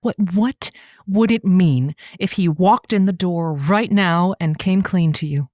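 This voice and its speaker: A woman playing a chatty, kindly but awkward lonely-hearts radio host in an audio drama, with a halting, playful, folksy delivery. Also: lowish voice, warm tone, no room sound, studio quality, lightly acted. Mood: tentative concern.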